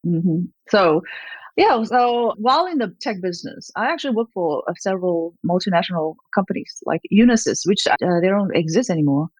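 A woman's voice: mid-range voice, lively intonation, fairly quick, darkish tone, dry recording, rough recording. A woman in her fifties from Malaysia, speaking as an interview guest. She talks in a rambling way, with many fillers and light laughs. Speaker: gallerist, guest. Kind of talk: conversational interview.

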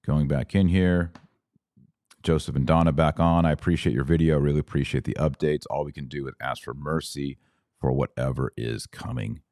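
Male podcast host, very low voice, dry close sound, earnest and conversational, turns indignant. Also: fairly even intonation, moderate pace, a little mellow, dry recording. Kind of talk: livestream podcast reading viewer comments.

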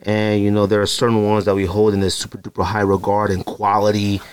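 A man's voice: lowish voice, monotone, fairly quick, smooth. A middle-aged man hosting a podcast, casually rambling with lots of ums and you-knows, self-deprecating. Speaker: podcast host. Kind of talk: casual solo sneaker show-and-tell.

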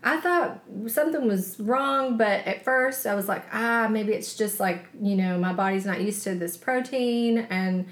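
A woman's voice: medium pitch, unhurried, hardly any pauses. Chatty woman cohosting a podcast, jokey, laughs a lot, room has a lot of echo. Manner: chatty, laughs easily, self-mocking. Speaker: podcast co-host, chef. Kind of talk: casual podcast chat. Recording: roomy echo.

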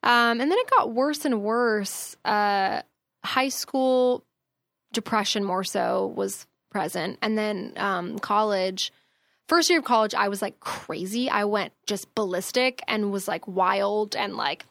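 A woman's voice: medium pitch, slow, creaky, a bit bright, no echo. A young woman talking casually as a podcast guest, chatty, with lots of fillers and laughs. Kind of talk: casual conversation.

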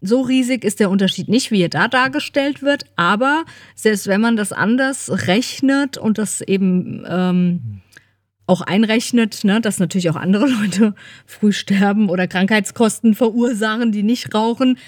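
A woman's voice: mid-range voice, normal speed, no echo. A woman co-hosting a podcast, chatting in a casual, informal way into a close microphone. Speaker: podcast co-host. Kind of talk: casual chat.

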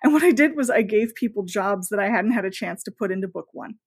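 A woman's voice: medium pitch, animated, fast talker, husky. A woman novelist talking casually as an interview guest, enthusiastic and rambling, often voicing her own thoughts as asides.

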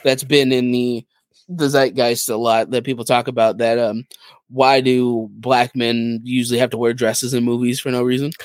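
A man's voice: mid-range voice, level tone, normal speed, no echo. A man chatting casually, in a conversational storytelling manner. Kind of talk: casual chat.

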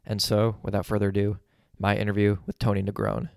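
A man hosting a podcast, reading a measured introduction and asking interview questions. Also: on the low side, monotone, gravelly, no room sound, studio quality. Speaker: podcast host.

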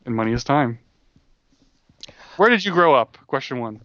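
Playful male interviewer, mid voice, flat muffled dry audio. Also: mid-range voice, moderate pace, no room sound. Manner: playful, laughs easily. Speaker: podcast co-host asking questions. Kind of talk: casual comedic chat.

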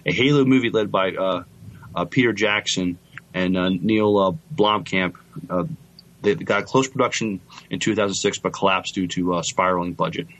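A man with a fairly low voice co-hosts a casual podcast. He talks through news and comments in a hesitant way, often halting as he finds his words. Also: fairly bright tone, poor audio. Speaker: podcast co-host.

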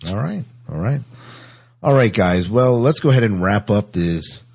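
A man's voice: fairly low pitch, lively intonation, moderate pace, vocal fry, a little mellow, slightly rough. A man hosting a podcast in a casual, chatty way. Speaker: podcast host.

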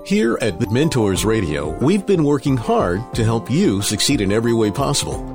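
A male announcer reads a sponsor spot in a slow, emphatic promotional style with a lively, animated pitch. Music plays clearly under the read. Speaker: show announcer. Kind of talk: read radio ad.

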